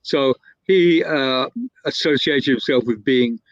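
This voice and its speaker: A man's voice: mid-range voice, slow, no echo. A man telling a long anecdote in a casual, rambling way, full of fillers and restarts. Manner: rambling, with many fillers and self-corrections. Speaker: guest storyteller. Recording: dull, lo-fi, dry sound.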